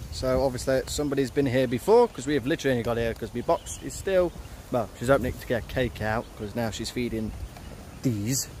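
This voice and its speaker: A man's voice: mid-range voice, fairly quick, a little mellow. A man, chatting casually to camera as a vlog host outdoors. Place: outdoors on a riverbank. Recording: noisy outdoor camera audio.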